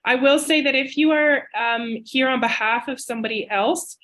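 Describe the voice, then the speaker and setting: A woman's voice: sits high, moderate pace, fairly bright tone. A woman admissions officer losing her voice, giving webinar advice in a chatty, informal way. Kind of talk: webinar presentation. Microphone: video call.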